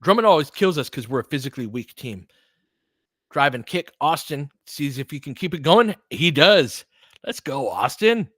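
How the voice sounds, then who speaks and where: A man's voice: on the high side, very animated, moderate pace, a bit bright, no room sound. Man calling basketball, very dry close sound. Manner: partisan home-team fan, conversational asides. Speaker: play-by-play commentator and analyst. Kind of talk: informal live basketball commentary.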